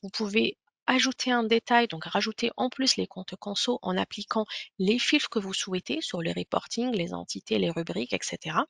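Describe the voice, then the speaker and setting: A woman giving an explanatory software webinar walkthrough, speaking hesitantly with frequent pauses. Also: mid-range voice, vocal fry, dry recording, studio quality.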